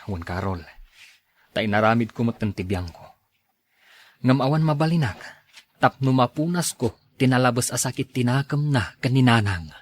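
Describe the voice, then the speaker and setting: A man playing a dutiful, kind-hearted grown son in a radio drama, earnest and coaxing in a husky voice. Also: medium pitch, expressive, normal speed, close to an everyday voice. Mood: grateful, burdened. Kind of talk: Ilocano radio drama acting.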